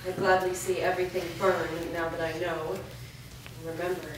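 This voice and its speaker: Actress as guarded fantasy heroine, determined grown daughter, lowish voice, far from mic. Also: female voice, flat delivery, normal speed, natural voice. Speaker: actor. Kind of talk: staged reading of a fantasy drama. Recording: echoey room, distant mic, background noise.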